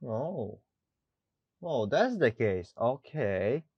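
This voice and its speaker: A man's voice: mid-range voice, very animated, very slow, breathy edge, no echo. A man giving casual let's play commentary, with non-native English phrasing, recorded close on a dull microphone. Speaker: gaming YouTuber.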